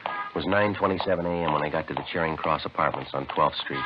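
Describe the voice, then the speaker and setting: Very deep, deadpan police detective. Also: male voice, fast talker, over loud music. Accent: American. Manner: terse, deadpan. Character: weary, businesslike police detective sergeant narrating his case. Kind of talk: 1950s radio drama, clipped narration and dialogue. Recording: noisy, dull vintage radio recording.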